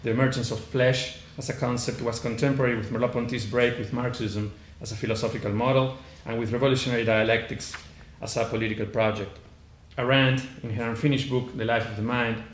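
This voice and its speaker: A man with a heavy Argentine accent presenting an academic paper at a conference, reading from notes with frequent "uh" fillers and false starts. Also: medium pitch. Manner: hesitant, many "uh" fillers and restarts. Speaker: conference panelist. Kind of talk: academic lecture read from notes. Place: conference room. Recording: echoey room, faint background noise.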